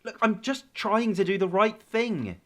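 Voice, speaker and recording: A man's voice: high-pitched, expressive, measured pace, a bit bright. An actor playing an anguished, guilt-ridden boyfriend in a radio drama, hesitant and stammering as he defends himself. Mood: pleading. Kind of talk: naturalistic radio drama acting.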